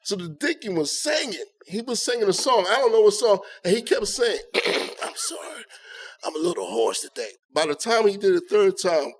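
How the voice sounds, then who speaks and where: A man's voice: high-pitched, big pitch swings, a little fast. A man co-hosting a casual comedy podcast, chatting and telling comic stories. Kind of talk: casual chat, comic storytelling.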